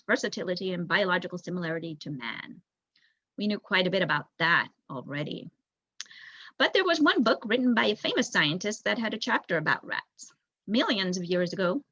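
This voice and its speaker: A young woman voicing a thoughtful, bookish male rat elder telling a storybook history in a measured, reflective way. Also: mid-range voice, pushed and bright, no echo. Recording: dull lo-fi recording.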